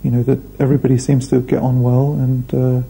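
A man talks through a documentary interview in a measured, hesitant way. His voice is dark and gravelly with little pitch movement. A faint hiss runs under the old video recording. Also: flat delivery, rough recording. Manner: measured, thoughtful, hesitant fillers. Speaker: restaurant founder. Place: indoor room.

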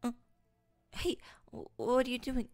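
A young woman voicing a timid, easily scared girl in an audio roleplay, speaking softly and hesitantly, stammering and often whispering. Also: on the high side, slow pace, no echo, soft background music. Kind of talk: audio roleplay addressed to the listener.

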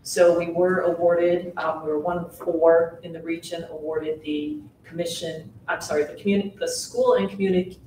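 A woman giving the assistant superintendent's report at a school board meeting, in a measured way with some fillers. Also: fairly low pitch, steady tone, warm tone, a little hiss or hum. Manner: measured, reads out figures with fillers. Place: large meeting room with long tables. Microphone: table mic from far off. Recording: echoey boardroom picked up from far off.

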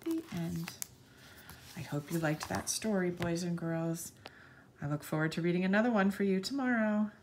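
A woman reading a picture book aloud to young children, speaking to them directly in an expressive storytelling manner. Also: lowish voice, normal speed, slight background noise.

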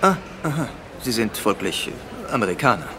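An actor playing a polite, gently teasing Victorian gentleman husband in a German radio play. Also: on the low side, very animated, moderate pace, gravelly, noisy background. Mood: polite, wry. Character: well-mannered English-speaking honeymooning husband, gentleman, gently teasing.

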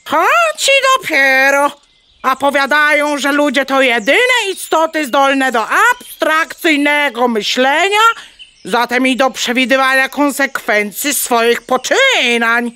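A woman's voice: sits high, slow, bright, forceful tone, heavily acted. A woman playing a bold, clever, bossy mother hen in a children's audio play, clucking between theatrical phrases. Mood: knowing.

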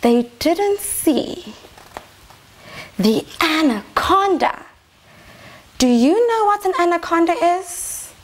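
Young lady doing kids story time, really high lively voice, asks the little ones stuff. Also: takes it slowly, pauses often. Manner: expressive, prompting children with questions. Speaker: infant teacher reading a storybook. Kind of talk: children's story time read-aloud. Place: small decorated indoor reading corner.

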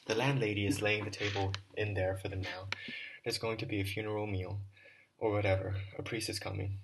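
A man reading an audiobook, voicing a proud teenage boy who speaks in hushed, clipped tones and snaps irritably. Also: lowish voice, flat delivery, brisk, vocal fry, background noise.

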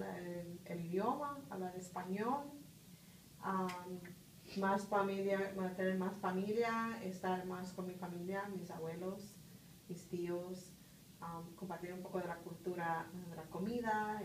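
Woman, middle-aged, hesitant fillers, echoey room, far from mic, faint hiss. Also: mid-range voice. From Puerto Rico. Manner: thoughtful, pauses with many "ah" and "este" fillers. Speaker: interviewee. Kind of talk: conversational interview answers. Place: office conference room. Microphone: far phone camera. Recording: roomy, distant, faint hiss.

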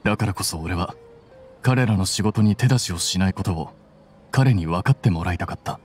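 A man's voice: low-pitched, moderate pace, faint music behind, natural voice. A man voicing a cool, blunt young scholar in a Japanese video game, composed, matter-of-fact and aloof.

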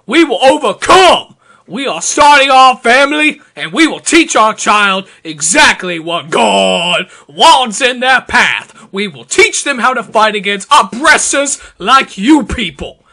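High-pitched man, theatrical dramatic reading. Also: very animated, sharp tone. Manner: theatrical, emphatic, deliberate. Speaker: performer reading social media posts aloud. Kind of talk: over-the-top dramatic reading.

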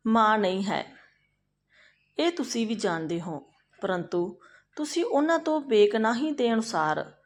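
Brave, respectful Brahmin man from a folk tale, firm reasoner, played by a woman with a highish pitch. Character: bold, humble Brahmin man reasoning with a king.

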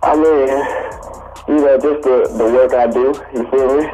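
A man's voice: medium pitch, fairly even intonation, takes it slowly, music in the background, poor audio. A man from Cuba, a rapper interviewed over a call, answering in brief, laid-back, guarded lines full of slang. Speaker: guest rapper. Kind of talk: casual interview.

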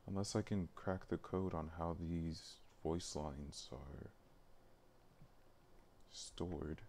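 Male streamer, very deep voice, halting think-aloud delivery, noisy room with typing and clicks. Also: darkish tone. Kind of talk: thinking aloud while working on a livestream. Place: indoors at a computer, livestream. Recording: noticeable background noise, keyboard clicks. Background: typing and mouse clicking.

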